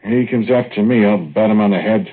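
A man's voice: lowish voice, a little fast, breathy edge. An actor playing a plain-spoken, skeptical small-town American plumber, telling his story in folksy, ungrammatical speech in old-time radio drama style. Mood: uneasy, practical. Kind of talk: 1940s radio drama acting, first-person narration and dialogue. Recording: muffled, noisy old radio recording.

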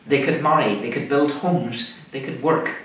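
Male minister, middle-aged, deliberate sermon delivery, recorded far from the mic in a very echoey room. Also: medium pitch, a bit bright. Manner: measured, deliberate delivery in short phrases. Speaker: minister preaching. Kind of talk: scripted sermon. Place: indoors, small room, seated at a table. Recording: echoey room, far microphone, faint noise.

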